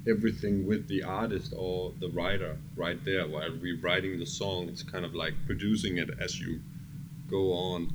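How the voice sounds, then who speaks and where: Deep-toned man explaining over background noise. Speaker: music producer being interviewed. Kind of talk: casual interview chat. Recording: clearly noisy background ambience.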